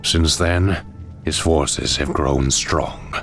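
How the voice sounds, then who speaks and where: An actor playing a proud, brooding ancient demon hunter lord in a game cutscene, solemn, grandiose and commanding. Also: male voice, extremely low pitch, steady tone, very slow, fairly bright tone, heavily acted. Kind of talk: video game cutscene acting. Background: music.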